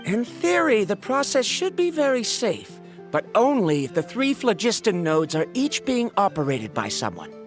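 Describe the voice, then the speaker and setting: A woman playing an earnest, bookish young woman scholar in a fantasy video game, with measured, precise wording. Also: medium pitch, sing-song, soft background music, natural voice. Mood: matter-of-fact. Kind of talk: animated video game voice acting.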